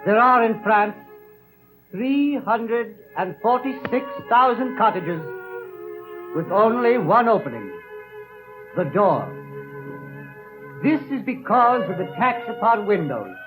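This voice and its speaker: An actor playing an earnest, compassionate bishop, preaching in a solemn, deliberate manner in an old radio drama. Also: male voice, high voice, very animated, music in the background, heavily acted, low-quality recording. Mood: solemn, pleading. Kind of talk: 1930s radio drama sermon. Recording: old 1930s radio broadcast.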